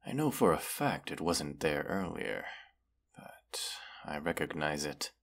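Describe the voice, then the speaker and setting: A man's voice: rumbling low, big pitch swings, gravelly, a bit bright, heavily acted. A man playing a proud, menacing, weary dragon who distrusts humans, talking haughtily in a fantasy audio roleplay. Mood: suspicious. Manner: slow, deliberate, haughty, with long pauses. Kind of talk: scripted audio roleplay monologue.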